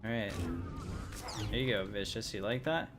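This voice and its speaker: A man's voice: fairly low pitch, moderate pace, noisy background. A man casually commenting on his gameplay during a livestream, chatty and joking with viewers. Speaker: livestream gamer.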